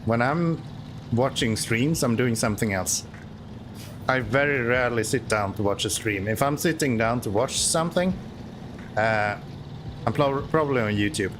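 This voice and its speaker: A man's voice: medium pitch, moderate pace, noisy background. A Swedish-accented man whose first language is Swedish, chatting casually and sarcastically while streaming a driving game. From Sweden. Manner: joking, self-mocking, sarcastic. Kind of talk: casual chat while playing.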